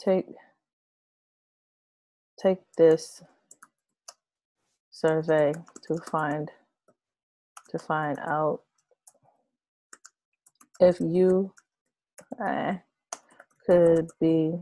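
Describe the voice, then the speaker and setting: A woman in her thirties, hoarse from losing her voice, casually explaining an online tutorial with many fillers and restarts. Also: low voice, steady tone, extremely slow, long pauses, dark tone, rough recording. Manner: rambling, hesitant, many fillers. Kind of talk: casual screen-share tutorial. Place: small room with red curtains, indoors.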